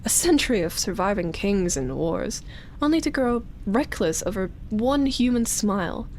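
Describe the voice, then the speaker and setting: A woman voicing an ancient, lonely vampire in love, speaking softly and intimately with sighs, whispered words and lingering pauses, as in roleplay ASMR. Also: mid-range voice, sing-song, slight background noise, natural voice, poor audio. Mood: melancholy, confiding. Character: lonely, obsessive female vampire, ancient, tender and teasing.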